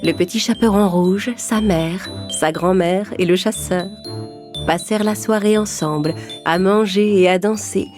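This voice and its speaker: A woman's voice: medium pitch, measured pace. A woman narrating a scripted children's story as the storyteller. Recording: prominent music under the voice.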